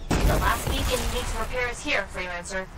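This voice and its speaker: An actress playing an earnest, socially awkward military officer in a video game, businesslike and a little stiff. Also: female voice, a little high, brisk, heavy background noise, natural voice. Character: awkward, earnest, brisk military Sentinel woman relaying missions.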